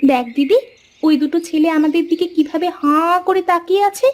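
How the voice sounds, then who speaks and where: A woman voicing a bashful but bossy young village sister in a cartoon fairy tale, animated and scolding. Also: extremely high pitch, moderate pace.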